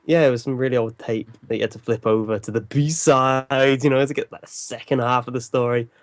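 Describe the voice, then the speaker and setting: A man's voice: medium pitch, steady tone, brisk, no echo. A man chatting casually in a jokey, self-deprecating way. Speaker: livestream guest and voice performer. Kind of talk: casual chat.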